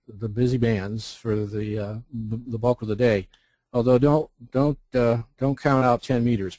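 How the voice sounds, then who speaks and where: A man's voice: mid-range voice, mellow, dry recording. A man presenting a webinar in an explanatory, instructional manner, walking through options step by step. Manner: instructional, poses rhetorical questions, lists options step by step. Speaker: presenter, amateur radio contest representative.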